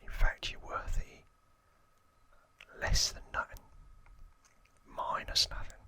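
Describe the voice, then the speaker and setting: A man's voice: slow, bright, forceful tone. A man voicing a boastful, threatening London gangster twin in a put-on Cockney accent, whispering his slangy lines from a game manual. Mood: boastful, menacing. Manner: threatening, slangy, self-important.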